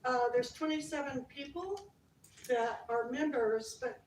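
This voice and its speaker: An American woman standing up from the audience with a loud, forthright, cheerful comment. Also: high-pitched, a little slow. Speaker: audience member, community organizer. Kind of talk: spontaneous comment from the floor. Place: community meeting room. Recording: echoey room from a distance, clear background noise.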